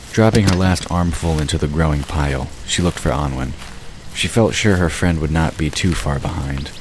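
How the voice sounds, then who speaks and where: A man narrating a fantasy audio drama in a steady, even storytelling manner. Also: very deep voice, noisy background.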